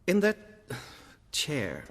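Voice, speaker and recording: A haughty imperial chamberlain for a video game. His fairly high voice is pompous, fussy and exact, ringing in a long hall reverb. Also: huge pitch swings, very slow, fairly bright tone, heavily acted. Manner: formal, pompous, precise. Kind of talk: video game dialogue acting.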